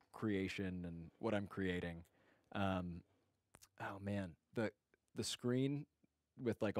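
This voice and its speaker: A man's voice: fairly low pitch, no room sound, studio quality. A man hosting a casual livestream chat, greeting viewers and rambling with many fillers and restarts. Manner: greets viewers by name warmly. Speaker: livestream host.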